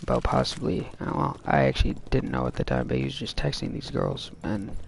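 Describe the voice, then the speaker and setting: A man's voice: medium pitch, very animated, brisk, creaky, a little hiss or hum. A young man telling a rambling story full of fillers into a gaming mic while he plays a shooter game. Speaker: gaming vlogger. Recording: game music loud in the background. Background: game music.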